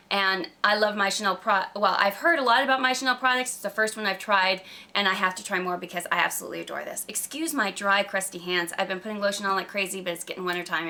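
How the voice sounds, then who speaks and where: A woman with a slightly croaky voice from a cold, chatting casually and emphatically to camera as a gushing beauty vlogger. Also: mid-range voice, pushed and bright. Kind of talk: casual product review chat to camera.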